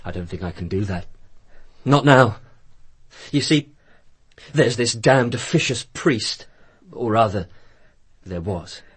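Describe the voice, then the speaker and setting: An actor playing a bitter, contemptuous murderer holding hostages, with a British accent and a taunting manner, in a radio drama. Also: male voice, on the low side, animated, slow, long pauses, slightly rough, full character voice. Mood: cold, threatening. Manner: sneering, taunting.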